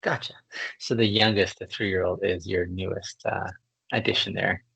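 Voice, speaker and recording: A man's voice: low-pitched, fairly even intonation, moderate pace, creaky, bright, forceful tone, breathy edge, no room sound, poor audio. A man from Canada hosting a conversational podcast interview, speaking in an articulate, reflective way and laughing easily. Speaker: podcast host.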